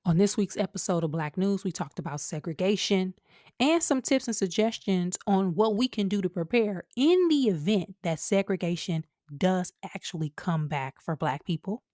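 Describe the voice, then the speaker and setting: An American woman hosts a podcast with mid-pitched delivery and very little room sound. She talks casually and colloquially, addressing listeners directly. Her opinions come out with emphasis and conviction. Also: expressive, normal speed, warm tone, no echo, studio quality. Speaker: podcast host.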